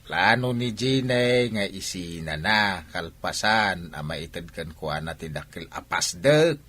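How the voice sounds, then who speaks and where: Scheming Ilocano dad, older; very lively inflection, roughened voice, radio drama. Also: male voice, low voice, measured pace, exaggerated voice. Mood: defensive, crafty. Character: crafty older father plotting with his daughter.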